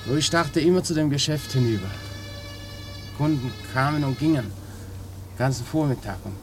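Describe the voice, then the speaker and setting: An actor playing a resigned small-time burglar confessing to police in a terse, matter-of-fact way, in a German crime radio drama. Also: male voice, mid-range voice, moderate pace, natural voice. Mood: matter-of-fact confession. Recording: noisy old radio drama recording.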